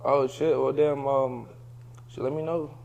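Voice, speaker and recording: A man's voice: medium pitch, steady tone, unhurried, gravelly, a little mellow, lo-fi sound. A man in his late twenties, a comedian guest, talking in laid-back slang and laughing often. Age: 20s. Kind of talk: casual chat.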